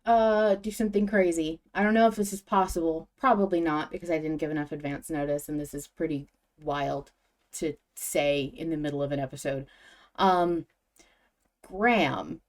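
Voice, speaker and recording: A woman's voice: low-pitched, moderate pace. A woman hosting a podcast, chatting casually as a fan in an informal discussion. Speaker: podcast host.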